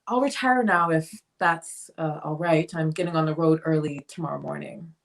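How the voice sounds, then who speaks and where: A woman's voice: low-pitched, moderate pace, creaky. A woman playing an aloof, sardonic bohemian artist in a dramatic play reading. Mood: haughty.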